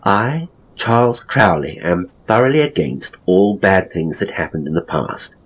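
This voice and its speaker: A man playing a pompous, theatrical ghost tour guide, telling gruesome tales with suspenseful, drawn-out phrasing in a horror audio story. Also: sits low, expressive, slightly rough, heavily acted, poor audio. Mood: affronted, defensive.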